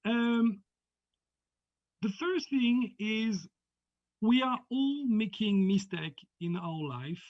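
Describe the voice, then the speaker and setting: Man, French accent, middle-aged, online lecture, high pitch, muffled dry call audio. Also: animated, no room sound. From France. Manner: halting, repeats words often. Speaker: guest lecturer. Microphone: video call.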